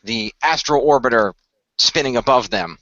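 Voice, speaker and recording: Halting male storyteller with a bright voice. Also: mid-range voice, dry recording, lo-fi sound. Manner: halting, restarts phrases. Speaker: narrator and co-creator of a radio play. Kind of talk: improvised story narration.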